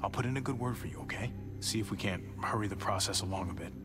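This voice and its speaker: A man's voice: lowish voice, fast talker, music in the background, lightly acted. An actor playing an earnest, protective American man surviving in a video game drama, speaking sincerely and firmly. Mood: wary. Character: earnest, protective young survivor, loyal younger brother.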